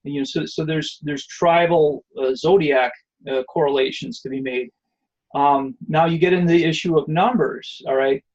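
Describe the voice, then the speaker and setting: A man's voice: on the high side, fairly even intonation, normal speed, darkish tone, highs cut off. A man giving an online presentation and answering questions, rambling with many fillers and restarts. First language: not Hebrew.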